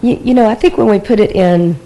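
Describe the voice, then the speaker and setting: A church discussion group member sharing a reflection in a halting, thoughtful way, often restarting sentences. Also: mid-range voice, mellow, slight background noise, low-quality recording.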